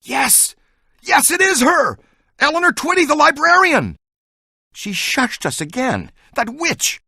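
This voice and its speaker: An actor voicing an eager, excitable ghost-hunting scientist in a video game, exclaiming and giving team orders. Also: male voice, extremely high pitch, takes it slowly, no room sound, clean studio sound, heavily acted. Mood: excited. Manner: animated, exclamatory, jargon-laden. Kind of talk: animated video game voice acting.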